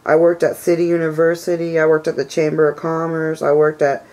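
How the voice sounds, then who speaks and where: A low, flat-voiced woman in her thirties, raspy and stuffed up from a cold. She rambles and vents in a casual vlog, circling back on her complaints with plenty of "you know"s. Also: fairly quick. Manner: frustrated, venting complaints. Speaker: vlogger. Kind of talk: casual personal vlog rant.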